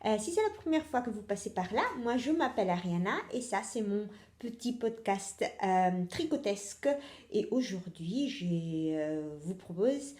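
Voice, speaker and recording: A woman's voice: medium pitch, animated, a little slow, dark tone, reverberant. A young woman from Italy with an Italian accent, hosting a casual knitting podcast in French, hesitant with many fillers and self-corrections.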